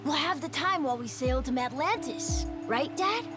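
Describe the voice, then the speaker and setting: A woman voicing an eager, excitable young boy in a video game dub, often pleading. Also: high-pitched, moderate pace, over music.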